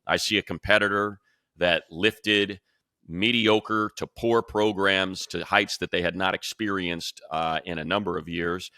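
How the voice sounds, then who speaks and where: A man's voice: sits low, steady tone, a little slow, pushed and bright, no echo, clean studio sound. A man hosting a sports show, giving analysis in an emphatic, conversational way as he thinks aloud.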